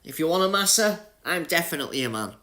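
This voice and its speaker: A young Yorkshire man chats his way through a racing game on stream, greeting viewers by name and joking about his bad luck. His voice sits a touch high for a man, and his talk is easy and matey. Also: animated, fast, pushed and bright. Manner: chatty, jokey, greets chat by name, laughs often. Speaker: gaming livestreamer. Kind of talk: casual live-stream chat with viewers while playing.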